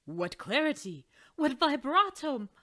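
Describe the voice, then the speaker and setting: A booming, theatrical male mouse choir master, voiced by a woman reading a storybook. His slow, lively delivery swoops with grand emphasis. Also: exaggerated voice.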